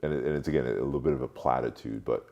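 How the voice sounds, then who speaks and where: A man in his late forties who grew up in Europe, speaking reflectively as an interview guest, haltingly, thinking aloud and repeating words. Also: extremely low pitch, vocal fry, dark tone, dull audio. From Europe, mostly France, born in Cameroon. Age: late 40s. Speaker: podcast guest, marketing strategist.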